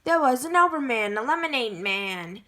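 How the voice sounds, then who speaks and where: A woman reading a picture book aloud in a careful, halting way, often stopping to correct herself. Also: a little high, expressive, bright, forceful tone. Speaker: storybook reader.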